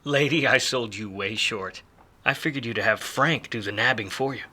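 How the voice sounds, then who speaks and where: An actor playing a wry, world-weary hardboiled private detective in a 1940s film noir radio play, full of slang. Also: male voice, medium pitch, moderate pace, bright, forceful tone. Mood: rueful, wry.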